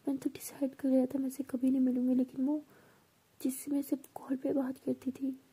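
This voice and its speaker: A woman's voice: high-pitched, monotone, fairly quick, dark tone, breathy edge. A woman whispering as a timid young woman in love. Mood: desperate.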